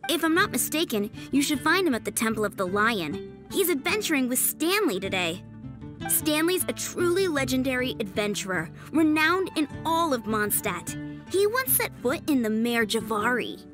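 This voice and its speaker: An earnest young woman dreaming of knighthood in a video game. Her voice is on the high side and very animated, eager yet modest, with soft music underneath. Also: normal speed. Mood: weary but friendly. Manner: enthusiastic, modest, bubbly. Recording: faint background music.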